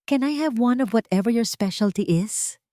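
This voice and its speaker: A woman's voice: a little high, animated, normal speed, warm tone, no echo, studio quality, pitched above the performer's own voice, close to an everyday voice. A woman voicing a courteous, friendly and admiring male food vlogger in an audio drama. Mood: amazed.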